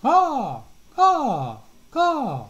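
A man's voice: very high-pitched, huge pitch swings, very slow, fairly bright tone, muffled recording, pitched above the performer's own voice, full character voice. A man voicing a mischievous flock of storybook crows, cawing and cackling. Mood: chanting.

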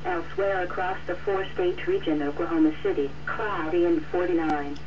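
A synthetic female announcer reads local weather conditions in a scripted, automated way. The voice comes through dull and distant from a radio receiver, with clear noise over it. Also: fairly low pitch, fairly even intonation, moderate pace. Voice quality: synthetic text-to-speech. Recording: muffled, noisy radio receiver captured off-air.

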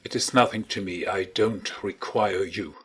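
A man voicing a bitter gentleman scientist who speaks coldly and with contempt. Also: fairly low pitch, a little slow, breathy edge, full character voice. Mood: cold, scornful. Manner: cold, contemptuous.

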